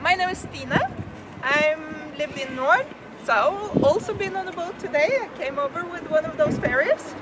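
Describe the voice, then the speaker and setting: Woman guide, lively high voice. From Norway. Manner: halting, many filler sounds, loose grammar. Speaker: tour guide. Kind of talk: guided walking tour talk. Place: outdoors at a city harbor. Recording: loud outdoor street noise.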